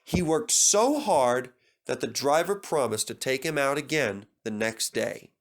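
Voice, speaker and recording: Slow, animated man reading a children's story. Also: mid-range voice. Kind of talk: scripted children's story narration.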